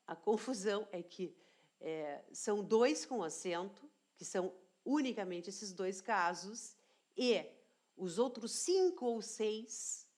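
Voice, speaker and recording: Woman teacher, unhurried explainer, repeats points and checks in with the class; grammar lesson read. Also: medium pitch, animated, darkish tone. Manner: slow, deliberate explaining, repeats key phrases, checks in with "tá?". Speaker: language teacher.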